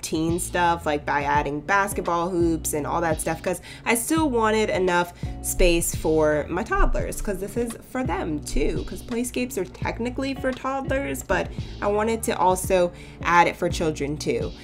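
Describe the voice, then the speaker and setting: A young woman chatting casually over her game build, her voice a bit hoarse and cracking, rambling into playful asides. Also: on the low side, animated, unhurried. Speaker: sims builder YouTuber. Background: soft background music.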